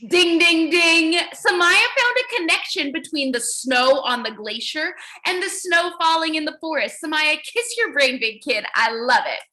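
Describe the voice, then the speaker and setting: Female early-years teacher, high and very lively pitch, animated read-aloud style, encouraging. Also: moderate pace, few pauses. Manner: animated, encouraging praise and questions; repeats children's answers back. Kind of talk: interactive children's read-aloud lesson.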